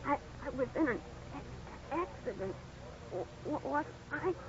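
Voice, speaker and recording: Young son in trouble: high, swooping, earnest, hesitant, vintage radio drama. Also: female voice, animated, moderate pace, crowd noise. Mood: dazed, frightened. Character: Vicky, anxious young son in trouble after a crash. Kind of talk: 1950s radio drama acting. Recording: dull, noisy old radio recording.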